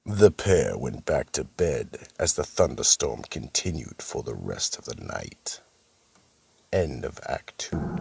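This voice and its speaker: A man playing a sinister storyteller who narrates a horror radio show in a gravelly, husky voice. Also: rumbling low, distant mic, background noise, exaggerated voice. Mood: ominous. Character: sinister male storyteller, keeper of a horror vault. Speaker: narrator and host.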